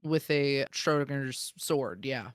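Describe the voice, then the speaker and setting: A man's voice: mid-range voice, slow pace, smooth, dry recording, clean studio sound. A man co-hosting a podcast, chatting casually. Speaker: podcast co-host. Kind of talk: casual chat.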